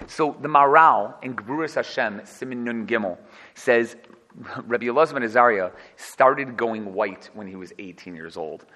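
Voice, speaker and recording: A man's voice: medium pitch, moderate pace, sharp tone, big-room sound. A man giving an informal, joking Torah class and putting questions to his students. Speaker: Torah teacher.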